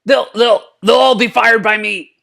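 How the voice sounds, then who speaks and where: A man voicing a belligerent, drunken old mad scientist in a cartoon-style comedic reading, gravelly and stammering, repeating himself and cursing. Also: high-pitched, fairly even intonation, unhurried, bright, forceful tone, exaggerated voice. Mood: angry, threatening. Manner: stammering, repeating words, profane.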